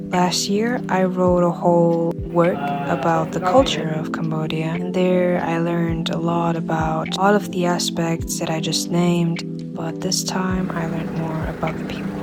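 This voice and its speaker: A young woman from Germany narrating a reflective voice-over about her travels in a calm, even way. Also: lowish voice. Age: young adult. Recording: voice-over with loud background music.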